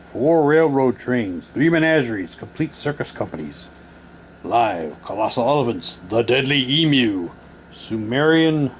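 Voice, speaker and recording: A man's voice: medium pitch, slight background noise. A man casually reading printed material aloud, switching between theatrical delivery and muttered asides.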